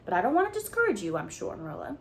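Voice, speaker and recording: A woman's voice: a little high, huge pitch swings, rapid-fire, a little hiss or hum, voice pushed up. Woman doing a blunt gossipy village matron. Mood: gloomy. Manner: emphatic, speaks her mind.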